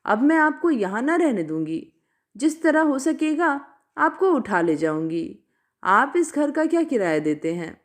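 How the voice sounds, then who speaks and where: A woman's voice: sits high, big pitch swings, measured pace. A woman voicing a pushy, flirtatious female betel-leaf seller from a story, chatty, coaxing and insistent. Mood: gushing, insistent.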